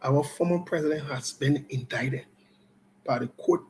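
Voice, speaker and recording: A man hosting an online political talk show, giving live commentary and scolding viewers in a blunt, loose conversational way that swings between loud outbursts and low-key remarks. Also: a little slow, slight background noise, low-quality recording.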